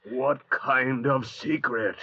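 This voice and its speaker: A man's voice: mid-range voice, steady tone, slow pace, bright, forceful tone, husky, heavily acted. An actor playing a cruel, short-tempered castaway man, sneering and threatening, in an old-time radio drama. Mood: impatient, sinister. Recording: muffled vintage radio recording.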